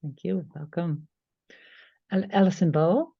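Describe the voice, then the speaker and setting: Slow, low-voiced woman moderator. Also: steady tone, vocal fry, slightly rough, no echo. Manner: brief, reads names haltingly. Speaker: webinar moderator. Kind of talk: calling on participants. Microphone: video call. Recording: dull, dry video-call audio.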